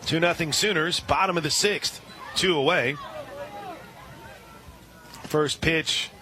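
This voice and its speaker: A man doing live radio play-by-play of a softball game, relaxed and chatty, lifting his voice on big plays. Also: on the high side, slow pace, crowd noise.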